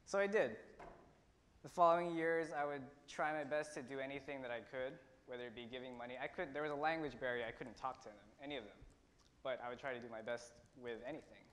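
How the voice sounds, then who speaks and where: A teenage man from India tells a personal story in an earnest way, pausing and restarting as he goes. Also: medium pitch, fairly bright tone. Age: teens. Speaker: student speaker. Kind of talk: prepared personal talk. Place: auditorium stage. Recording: long hall reverb.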